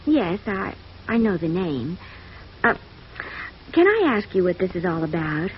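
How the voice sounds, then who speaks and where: Lively, flustered young showgirl. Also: lowish voice, measured pace. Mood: uneasy. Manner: hesitant, genteel. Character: polite, flustered young female showgirl, wary. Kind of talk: 1950s radio drama acting. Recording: muffled old radio recording, faint noise.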